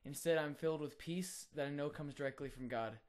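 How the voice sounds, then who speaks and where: A young man, a college student, sharing a personal faith testimony in an earnest, reflective way with frequent filler pauses. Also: a little high, a little mellow. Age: young adult.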